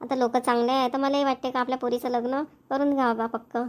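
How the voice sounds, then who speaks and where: Woman acting high, chatty village wife, cartoon. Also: level tone, quick pace, lightly acted. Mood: eager, urging. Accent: Vidarbha Marathi. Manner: chatty, insistent, colloquial. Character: eager, practical village wife and family elder. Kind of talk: homemade cartoon dialogue.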